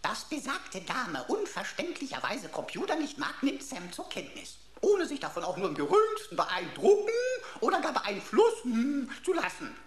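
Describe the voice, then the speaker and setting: A pompous pocket computer from a sci-fi radio play, with a high, very animated voice. It speaks in florid mock-formal phrases, teasing and theatrical. The sound is roomy, with faint noise. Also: female voice, normal speed. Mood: indignant, mocking. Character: pompous, emotional talking pocket computer, a sarcastic sleuth sidekick. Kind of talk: German radio play acting.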